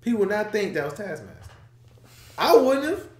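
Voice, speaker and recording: A young man hosting a casual movie reaction chat, excitable and animated, sometimes trailing off into low mumbles, recorded on a webcam in a small room. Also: fairly quick, roomy sound. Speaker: reaction video host. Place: small room indoors, seated.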